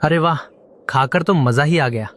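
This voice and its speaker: A man's voice: on the high side, moderate pace, fairly bright tone, a little hiss or hum, lightly acted. A man voicing a predatory hawk, a reassuring husband and father, in a Hindi children's cartoon. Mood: satisfied.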